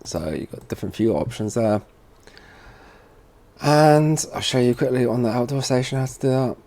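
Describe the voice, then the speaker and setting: A man giving an informal software tutorial, thinking aloud as he works at a computer. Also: mid-range voice, moderate pace, creaky. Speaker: tutorial presenter for a security products seller. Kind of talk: casual software walkthrough narration.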